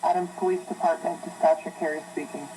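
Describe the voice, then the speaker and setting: A man's voice: on the high side, fairly even intonation, fast talker, warm tone. A man playing a businesslike police dispatcher on a phone line, asking controlled, procedural questions in a horror drama. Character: businesslike police emergency dispatcher taking a call. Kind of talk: emergency call horror drama. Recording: noisy emergency phone call recording.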